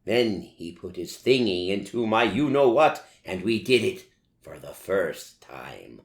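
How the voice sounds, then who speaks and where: Casting: male story reader, old horror-film theatrics, low creaky voice, swooping delivery, roomy echo. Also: sing-song. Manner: dramatic, deliberate, savouring each phrase. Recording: roomy, echoing voice recording.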